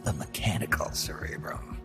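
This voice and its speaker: An actor playing a terse middle-aged mercenary soldier in a game cutscene, with a gravelly low voice that often drops to a near whisper. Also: male voice, normal speed. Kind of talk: video game cutscene acting. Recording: loud music underneath.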